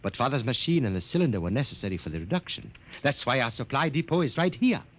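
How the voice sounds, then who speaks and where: An intense young rebel in a 1950s radio play. He speaks in clipped, urgent lines. His pitch moves widely. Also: sing-song, fast talker. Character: young male rebel leader, intense and guarded. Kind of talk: 1950s radio drama acting.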